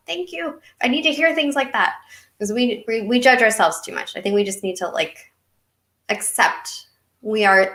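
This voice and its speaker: A woman's voice: medium pitch, a bit bright, reverberant. A woman co-hosting an online talk show, chatty and giggly, a little nervous, with a slightly nasal voice. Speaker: co-host. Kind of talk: casual live-stream chat.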